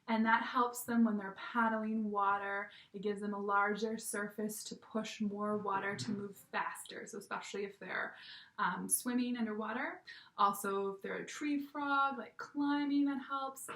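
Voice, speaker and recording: A woman giving a gentle, patient online lesson to young children from her home, often checking in with "okay?". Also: mid-range voice, far from the mic. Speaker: teacher. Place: room at home. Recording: echoey room, voice a bit off-mic.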